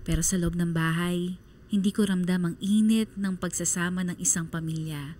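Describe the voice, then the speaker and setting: A woman's voice: fairly low pitch, steady tone, normal speed, mellow, lightly acted. An actress playing a sorrowful daughter who earnestly narrates her family's story in a radio drama. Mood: heartbroken.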